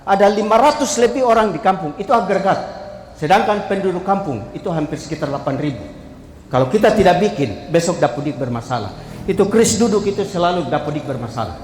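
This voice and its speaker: A man's voice: sits high, expressive, fast, darkish tone, a little hiss or hum. A man from the civil registry gives an emphatic formal presentation to a jury panel. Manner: emphatic, calls out to the audience, moves slide to slide. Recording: echoey hall, faint ambience.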